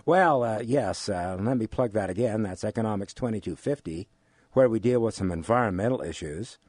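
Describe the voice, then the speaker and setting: An older man with an American accent explains things in an interview, haltingly, repeating phrases for emphasis. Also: fairly low pitch, lively intonation, darkish tone, slightly rough, no echo. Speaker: economics instructor giving an interview.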